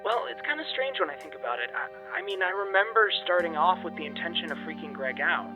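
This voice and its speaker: A man's voice: a little high, animated, quick pace, music in the background, close to an everyday voice. A man playing a rattled young man confiding in his friends in an audio drama, speaking hesitantly over a muffled line. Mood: troubled recollection.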